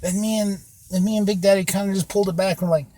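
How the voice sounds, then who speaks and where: A man's voice: high voice, steady tone, quick pace, mellow, poor audio. A man hosting a casual podcast, brash and laughing, swearing freely, recorded on a phone hanging inside a car. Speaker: podcast host. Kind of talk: casual chat, heavy swearing.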